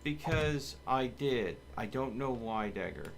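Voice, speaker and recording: A man's voice: medium pitch, animated, slow pace, creaky, slight background noise. A man hosting a gaming livestream, chatting casually as he plays and sounding mildly exasperated, with some light swearing. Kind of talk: casual gameplay stream chat.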